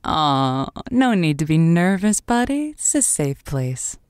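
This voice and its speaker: A woman's voice: deep voice, very animated, unhurried, darkish tone, clean tone, no room sound, studio quality. A woman playing a radio advice host, wry and frank, with hesitant reassurance in a call-in drama. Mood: reassuring.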